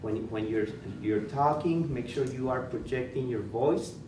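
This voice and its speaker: A man's voice: mid-range voice, measured pace. Man, Spanish accent, principal teaching a workshop, lots of fillers and restarts, distant mic, background noise. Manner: repeats words, many fillers and restarts. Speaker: school principal giving interview advice. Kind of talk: informal workshop talk. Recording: echoey room, far from mic, noisy.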